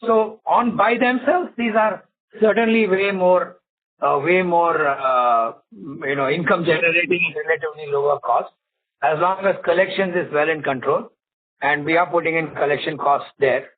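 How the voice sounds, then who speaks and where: A man with a fairly high voice answers as a company executive on an earnings call. He speaks in a measured, halting, careful way. The sound is narrow, like a telephone conference line. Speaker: bank executive.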